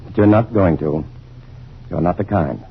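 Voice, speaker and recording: An actor playing an earnest, protective, determined scientist hero in an old-time radio drama. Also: male voice, low-pitched, moderate pace, gravelly, dull audio. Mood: gentle. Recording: noisy, phone-thin vintage radio recording.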